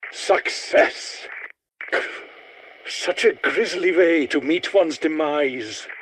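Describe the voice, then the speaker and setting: An actor playing an eccentric, vain older German scientist in a video game, with a German accent and a precise, pompous, theatrical delivery. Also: male voice, high-pitched, breathy edge, full character voice, poor audio. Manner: theatrical, precise, pompous, old-fashioned wording. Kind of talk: video game character voice lines.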